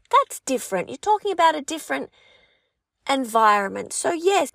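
A woman's voice: a little high, fairly bright tone, no room sound, studio quality. An Australian woman whose first language is English, giving an upbeat, reassuring talk that coaches people who want to run language sessions for children. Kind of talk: encouraging talk to aspiring tutors.

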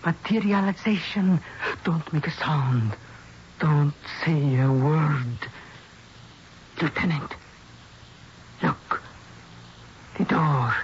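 An actress playing a self-assured, mysterious spirit medium in a radio mystery drama, with grand, measured, hushing phrasing. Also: female voice, low voice. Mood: commanding, serene. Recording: dull, hissy old radio broadcast.

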